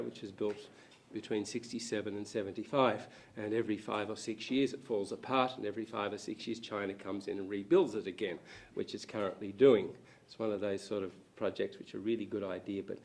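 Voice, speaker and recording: A hoarse, croaky-voiced man, an academic speaking on a panel at a university event, hesitating often with ums and restarts. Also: fairly low pitch, darkish tone. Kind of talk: public lecture talk. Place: university lecture room.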